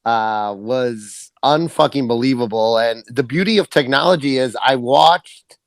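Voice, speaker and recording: A man co-hosting a podcast, his voice hoarse from yelling, talking in an excitable, rambling, casual way. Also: medium pitch, unhurried, no echo. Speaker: podcast co-host.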